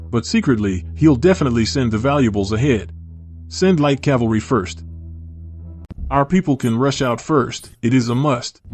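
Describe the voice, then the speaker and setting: An actor playing a guarded, authoritative young prince of imperial China in a dubbed period drama. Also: male voice, mid-range voice, a little mellow, natural voice. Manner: curt, authoritative orders.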